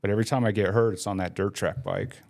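A man's voice: lowish voice, fairly even intonation, fast, vocal fry, darkish tone. A man in his forties, a podcast guest, telling stories casually and rambling, with lots of "like" fillers. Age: 40s. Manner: rambling, heavy use of "like" fillers. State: laid up with a broken leg. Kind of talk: casual storytelling.

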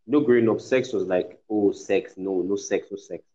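A man chats bluntly on a podcast, pressing his points hard and swearing freely. His voice is low. The sound is muffled and lo-fi. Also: normal speed, mellow. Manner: blunt and emphatic, swears, says "bro" a lot. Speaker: podcast guest. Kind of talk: casual chat. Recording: dull lo-fi sound.

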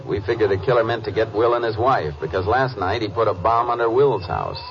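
An American actor playing a calm, methodical Texas Ranger in a 1950s radio crime drama, terse and measured. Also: male voice, lowish voice, slight background noise. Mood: firm, probing. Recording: muffled old radio recording.

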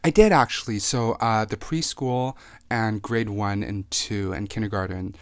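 A man's voice: fairly low pitch, a little slow, no echo. A man in his twenties, from Taiwan, talking candidly and with self-mocking humor as a podcast guest. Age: 20s. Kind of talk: casual interview chat.

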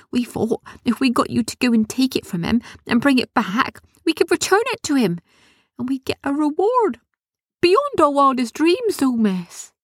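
Woman doing wheedling little gnomes, squeaky high, storybook reading. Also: no room sound, studio quality. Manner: whimpering, wheedling, rustic grammar. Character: small sneaky pleading gnomes.

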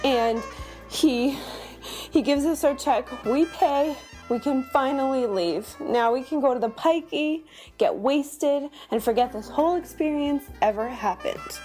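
A woman's voice: sits high, unhurried, a little mellow, soft background music. A woman playing an exasperated, melodramatic diner giving a theatrical, aggrieved reading of a scathing review. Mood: aggrieved, dramatic. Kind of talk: dramatic scripted reading over music.

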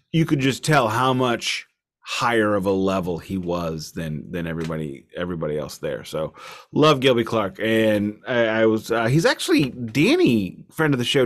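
A man co-hosting a podcast, chatting casually about music in an opinionated, rambling way with stammered repeats. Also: lowish voice. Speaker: podcast co-host.

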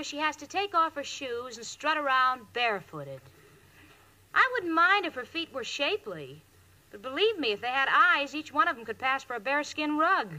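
A woman playing a sensible, wry young roommate with crisp, emphatic delivery in a 1940s radio comedy. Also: high-pitched, sharp tone, exaggerated voice. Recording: faintly noisy old radio recording.

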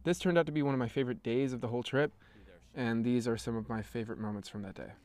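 A young American man with an American accent, hosting a podcast and telling a travel story in measured scripted narration. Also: mid-range voice, steady tone, a little mellow. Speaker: podcast host.

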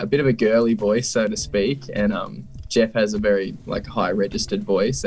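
A young man, a musician guest, talking casually in an interview, relaxed with frequent laughs and ums. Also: on the low side.

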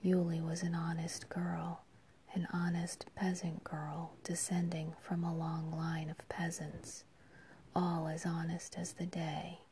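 A woman reads a story entirely in a hushed whisper. Her delivery is unhurried and low, with a flat, even line. A faint background hum sits under the voice. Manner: soft, hushed whisper throughout. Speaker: narrator. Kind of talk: whispered audiobook reading.

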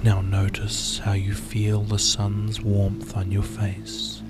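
A man guiding a hypnosis and relaxation session in a soft, soothing, often half-whispered delivery. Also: on the low side, monotone, takes it slowly, loud music behind the voice. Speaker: hypnotherapy guide. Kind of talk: guided hypnosis and relaxation script.

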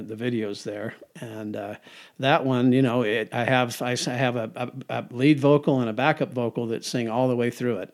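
An older man, a songwriter, answering interview questions in a rambling, repetitive way and chuckling often. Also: mid-range voice. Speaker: singer-songwriter guest.

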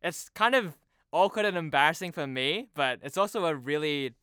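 A man's voice: on the high side, animated, normal speed, pushed and bright, clean tone, no room sound, studio quality. A young man chatting casually as a podcast guest, informal and self-deprecating. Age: young adult. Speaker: podcast guest, TV promo producer. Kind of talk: casual chat. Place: remote video call.

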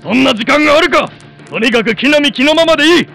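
An actor playing a gruff, short-tempered, old-fashioned middle-aged father in a radio drama, barking orders and blustering. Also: extremely high pitch, animated, fast talker, loud music behind the voice, full character voice. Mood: urgent barking.

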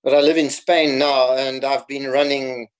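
A man's voice: medium pitch, flat delivery, moderate pace. A South African man in his fifties, a guest in casual conversation, explaining things patiently and laughing easily. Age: 50s. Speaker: podcast guest.

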